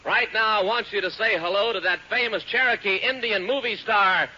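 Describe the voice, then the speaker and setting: Forceful, high-pitched American radio host. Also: male voice, normal speed. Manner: hearty, folksy showman. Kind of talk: 1940s live radio announcing for children. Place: theater stage. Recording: dull, noisy old radio broadcast recording.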